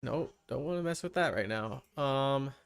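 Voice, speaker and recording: A man's voice: mid-range voice, animated, moderate pace, creaky, clear, resonant tone. A man chatting casually on a game livestream, thinking aloud and muttering to himself. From Canada. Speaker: game livestreamer using an avatar.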